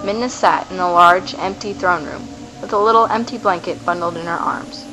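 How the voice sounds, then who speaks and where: A woman's voice: fairly low pitch, moderate pace, bright, forceful tone, a little hiss or hum, rough recording. A woman reading scripted narration for a fantasy radio play. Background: music.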